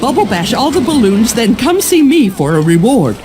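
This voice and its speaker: Fretful, high-voiced prim cartoon fish lady. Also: very animated, moderate pace. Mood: alarmed, fussy. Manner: fussy, alarmed, disapproving. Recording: music behind the voice.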